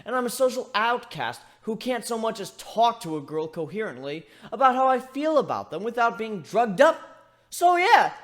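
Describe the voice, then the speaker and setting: A man's voice: high voice, pitched above the performer's own voice. A man voicing an awkward, insecure male griffin in a dramatic story reading, emotional and sarcastic. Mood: sarcastic exasperation.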